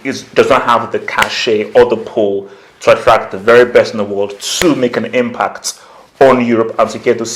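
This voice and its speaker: A man giving casual, opinionated football commentary as a vlog host, slangy and conversational, repeating points for emphasis. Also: on the low side. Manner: slangy, conversational, emphatic repetition, acts out imagined quotes. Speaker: football YouTuber, channel host.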